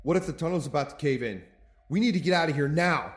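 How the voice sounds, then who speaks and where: A man's voice: on the high side, animated, fast, lightly acted. A man acting in an audio drama as an anxious townsman who presses with skeptical questions and is easily rattled. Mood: panicked. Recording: echoey tunnel-like reverb with music.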